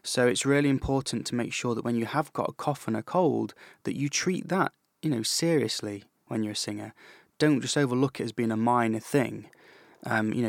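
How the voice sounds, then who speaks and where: Man, vocal coach podcast host, mid-pitched voice, calm explaining, dry close mic. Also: creaky, no room sound. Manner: calm explanatory, with frequent fillers and restarts. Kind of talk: solo educational podcast talk.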